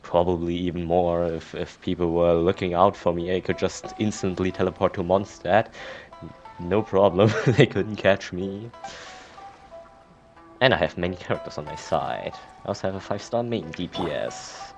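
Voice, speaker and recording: A man with a low voice commentates casually on a video game. He is hesitant and thinks aloud, while faint game music plays in the background. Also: normal speed, darkish tone. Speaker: gamer commentating on playthrough.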